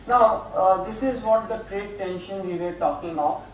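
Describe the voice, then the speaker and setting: An older man giving a slow, halting keynote lecture at a conference lectern, with many pauses and fillers. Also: high-pitched, distant mic. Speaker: keynote speaker. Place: large auditorium at a lectern. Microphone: podium microphone, room sound. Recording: echoey hall, voice from afar, faint noise.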